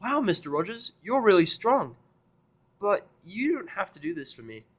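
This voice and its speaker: A sweet, earnest little pony mare, voiced by a man for a fan audio story. The voice is pitched well up into a cutesy falsetto, bright and gushing, with an innocent cheerful temper. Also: sing-song, normal speed, slightly rough, exaggerated voice. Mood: grateful, cheerful. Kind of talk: amateur fan fiction audio drama.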